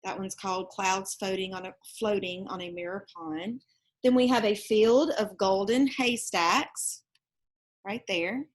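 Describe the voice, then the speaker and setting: A woman's voice: mid-range voice, measured pace. A woman reading a children's storybook aloud expressively, with casual asides explaining the pictures to young listeners. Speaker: storybook reader.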